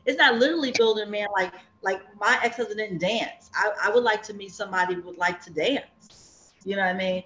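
A woman's voice: medium pitch, brisk, pushed and bright, lo-fi sound, slight background noise. Chatty woman in her fifties, roomy sound. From Savannah, Georgia, US. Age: 50s. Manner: chatty, rambling, laughs often. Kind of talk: casual group chat.

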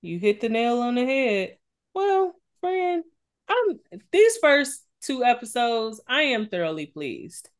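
A woman's voice: on the high side, big pitch swings, takes it slowly. A woman co-hosting a casual gossip podcast, blunt and emphatic, with plenty of swearing. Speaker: podcast co-host.

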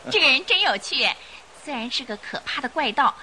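An actress playing a sweet, curious, innocent young lady with an expressive girlish manner in a Chinese radio drama. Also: sits high, moderate pace, slight background noise, heavily acted, poor audio. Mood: amused. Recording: radio drama with faint music behind.

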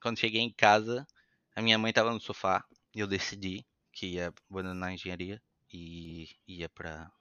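A young Brazilian man with a light European Portuguese influence in his accent, a podcast guest telling his story in a lively, chatty way. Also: low-pitched, moderate pace, smooth, no room sound, highs cut off. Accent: Brazilian Portuguese with some European Portuguese influence. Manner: animated, chatty, acts out quoted voices. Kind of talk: casual storytelling interview.

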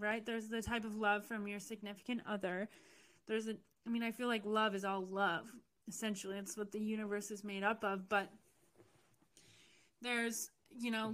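A young American woman talks through her own experiences in a loose, candid way, full of fillers and quick self-corrections. Her voice is bright and a little high. She sounds like she is telling a friend a story. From United States. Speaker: podcast host. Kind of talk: casual personal storytelling.

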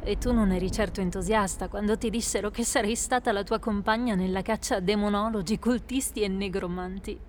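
A woman playing a sharp-witted witch adventurer in an amateur radio drama, with a wry, teasing manner. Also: mid-range voice, fairly even intonation, fairly quick, hardly any pauses, a little hiss or hum, close to an everyday voice. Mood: earnest.

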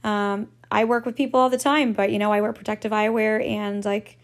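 A woman hosting a casual solo podcast, her voice raspy and hoarse from just waking up, rambling along with frequent laughs and casual swearing. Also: mid-range voice, fairly even intonation, brisk. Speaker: podcast host.

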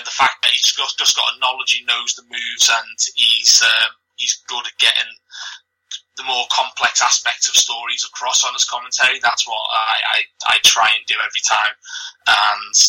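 A man's voice: medium pitch, sharp tone, slightly rough, poor audio. A man from Liverpool with a light Scouse accent, chatting as a guest in an interview with lots of ums and restarts. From Liverpool, England. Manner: hesitant, many ums and restarts. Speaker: wrestling commentator, guest. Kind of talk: casual podcast interview.

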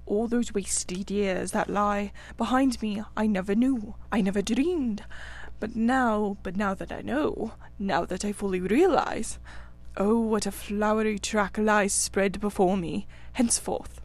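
A woman's voice: medium pitch, measured pace. A woman voicing a vain, motor-mad male toad who rhapsodizes in a grand, dreamy way. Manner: rhapsodic, in a dreamy monotone at times.

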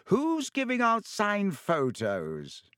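A vain, jovial teacher booms his words slowly and grandly, pleased with himself, as a man voices him in an audiobook. He speaks higher than a plain man's voice, with a lofty, paternal air. The sound is dry and close. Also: wildly swooping pitch, a bit bright, dry recording, full character voice. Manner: booming, jovial, self-satisfied, paternal. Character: vain, jovial, pompous grown male teacher. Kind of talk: audiobook character voice.

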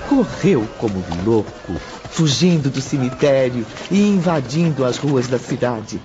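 A man's voice: medium pitch, expressive, unhurried. A man narrating a radio drama in a dramatic, scripted style. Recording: muffled, under prominent music.

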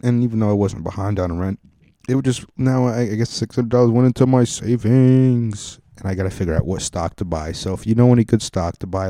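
A man's voice: medium pitch, mellow, dry recording, studio quality. A young adult man hosting a podcast, rambling and joking with casual profanity. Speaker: podcast host. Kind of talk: casual comic podcast monologue.

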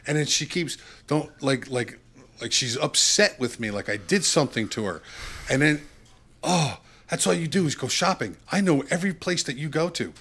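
A man's voice: fairly high pitch, moderate pace, husky. A man chatting casually as a podcast guest, telling rambling anecdotes and acting out the dialogue as he goes. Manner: rambling, animated retelling with quoted dialogue.

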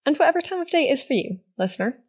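A woman reading a friendly scripted podcast promo in a husky, breathy voice on a slightly dull recording. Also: sits high, sing-song, rapid-fire, background noise. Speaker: podcast maker giving a promo.

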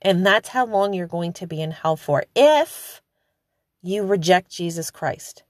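A woman in her forties preaching informally, turning impassioned with high emphatic calls and chatty fillers. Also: expressive, measured pace, no room sound, studio quality. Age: 40s. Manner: conversational with frequent fillers.

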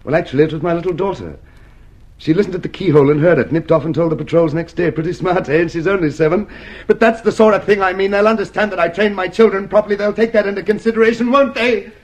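An actor playing a naive, chummy middle-aged prisoner in a radio drama, halting and eager to please. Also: male voice, high-pitched. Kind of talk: old radio drama acting.

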